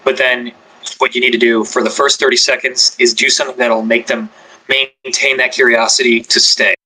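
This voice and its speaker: A man's voice: mid-range voice, level tone, moderate pace, sharp tone, breathy edge, low-quality recording. A man on a podcast panel giving casual tips on making content, chatty and loose. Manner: chatty, loose with filler words and restarts. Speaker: ASMR creator on a podcast panel.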